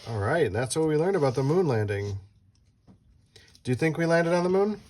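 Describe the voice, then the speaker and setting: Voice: mid-range voice, very animated, brisk, darkish tone. Someone reading a children's book aloud as host, playfully asking a child questions and adding casual asides.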